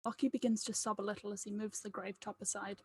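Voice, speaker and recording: A woman's voice: mid-range voice, little pitch movement, fast talker, creaky, darkish tone, breathy edge. A woman reading scripted stage directions aloud at a table read.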